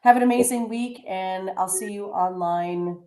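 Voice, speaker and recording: A woman hosting a radio talk show, chatty and halting, with many restarts. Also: mid-range voice, echoey room, crowd noise. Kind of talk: casual radio chat.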